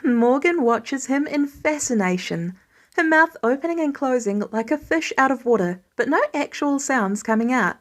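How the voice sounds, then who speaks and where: A woman narrating fiction in an expressive scripted reading style, as an audiobook reader. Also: high-pitched, moderate pace.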